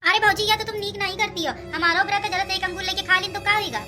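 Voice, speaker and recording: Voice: extremely high pitch, fairly even intonation, rapid-fire, sharp tone, slight background noise, exaggerated voice. A performer voicing a greedy, defensive young village man in a comic cartoon, protesting with a rural Uttar Pradesh accent. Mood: defensive grumbling. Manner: sly, protesting.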